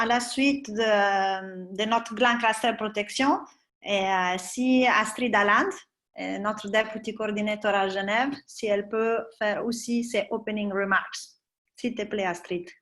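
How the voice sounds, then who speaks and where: A woman moderator hands the floor to the next speaker on a video call. She pauses with fillers along the way, at a middling pitch. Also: steady tone, a bit bright. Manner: introduces speakers, hesitant with fillers. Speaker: meeting moderator.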